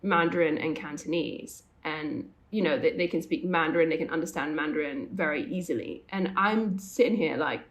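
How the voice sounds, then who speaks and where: A young woman from Hong Kong co-hosting a casual podcast chat, rambling and correcting herself as she goes. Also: sits low. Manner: rambling, self-correcting, quick asides. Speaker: podcast co-host.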